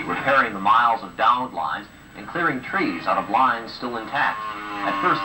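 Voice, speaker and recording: A man presenting the news, reading scripted broadcast narration. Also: on the low side. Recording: old home videotape of TV news, distant, hissy.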